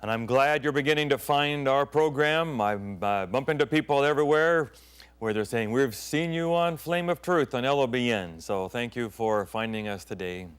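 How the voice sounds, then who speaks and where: A middle-aged man who grew up in Thailand, a pastor hosting his own program, telling stories in a sermon and often dropping to hushed tones and whispers. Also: medium pitch, expressive, normal speed, clean tone. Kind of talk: storytelling sermon.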